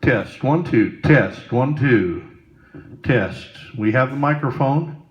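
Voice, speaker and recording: A man giving a slow, plain hands-on demonstration of setting up a sound system indoors, pausing often with fillers. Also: mid-range voice, animated, highs cut off. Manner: unhurried, plain instructions with frequent pauses and fillers. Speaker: ministry volunteer demonstrating sound gear.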